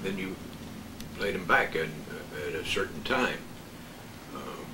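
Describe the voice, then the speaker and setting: An older man and former radio worker, reminiscing casually and haltingly. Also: sits low, animated, sharp tone, echoey room. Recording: noisy, distant microphone.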